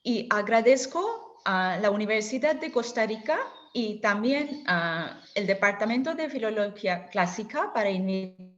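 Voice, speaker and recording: A woman's voice: mid-range voice, expressive, moderate pace. A woman whose first language is Bengali, opening a lecture over a video call, speaking hesitantly with many fillers and apologies. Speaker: guest lecturer. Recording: dull lo-fi video call audio.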